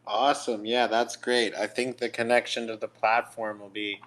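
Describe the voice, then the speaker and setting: A man's voice: mid-range voice, little pitch movement, normal speed, fairly bright tone. A man hosting a casual interview, responding with easy approval and putting questions to a guest. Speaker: interviewer, radio show host.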